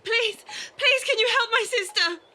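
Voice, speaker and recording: A very shrill, frightened woman. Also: moderate pace, sharp tone, a little hiss or hum, full character voice. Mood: panicked. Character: frantic, frightened woman pleading for help. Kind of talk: audio drama acting.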